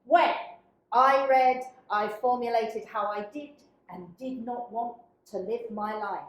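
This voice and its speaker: A woman giving a deliberate, dramatic spoken-word reading, with emphatic phrase openings that fall away to quieter endings. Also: a little high. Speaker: dramaturge reading a participant's written piece. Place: echoey indoor workshop room. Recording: echoey room.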